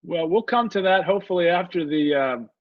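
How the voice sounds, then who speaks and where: An older man hosting a radio talk show, speaking in halting, rambling sentences full of fillers. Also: high voice, animated, no room sound. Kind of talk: radio interview chat. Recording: muffled dry audio.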